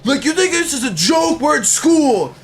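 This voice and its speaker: A man voicing a big, buff male teacher who shouts at a joking student, his voice far above his usual pitch. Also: sing-song, moderate pace, reverberant, slight background noise, heavily acted. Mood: furious.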